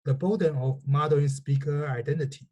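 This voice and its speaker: Male researcher, native Chinese speaker, soft mellow tone, slightly rough husky voice, methodical formal lecture delivery. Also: on the high side, fairly even intonation, brisk. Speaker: researcher presenting a technical lecture. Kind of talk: scripted technical lecture narration.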